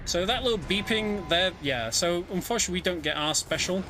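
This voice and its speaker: A man's voice: high voice, expressive, fairly quick, noisy background. A man giving casual live speedrun commentary in a chatty, hesitant way. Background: video game music.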